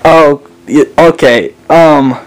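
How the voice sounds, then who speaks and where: Slow, hesitant male prank caller. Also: on the high side, rough recording. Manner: hesitant, halting, acting clueless and evasive. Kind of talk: prank phone call.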